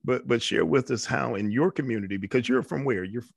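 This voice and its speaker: Gravelly man hosting a faith talk show. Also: mid-range voice, no echo, clean studio sound. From New York. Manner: halting, repeats words while talking. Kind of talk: faith-based interview talk.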